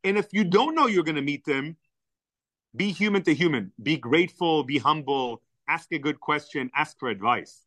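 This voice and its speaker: A man's voice: fairly high pitch, normal speed, no room sound. A man whose first language is Hebrew, telling enthusiastic stories as a podcast guest. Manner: animated, enthusiastic storyteller. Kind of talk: storytelling in conversation.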